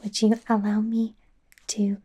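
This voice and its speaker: Slow whispering, anxious young werewolf doctor played by a woman. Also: mid-range voice, completely flat delivery. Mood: gentle, hesitant. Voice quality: breathy whisper. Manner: hesitant, stammering, flustered and gentle. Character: anxious, awkward young female werewolf medical student playing doctor. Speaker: ASMR roleplay performer. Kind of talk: soft-spoken, whispered ASMR roleplay.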